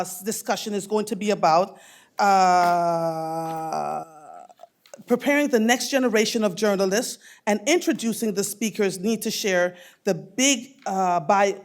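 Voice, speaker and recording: Boomy-voiced woman in her fifties emceeing. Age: 50s. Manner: effusive, calls people darling. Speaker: mistress of ceremonies. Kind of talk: conference emcee address.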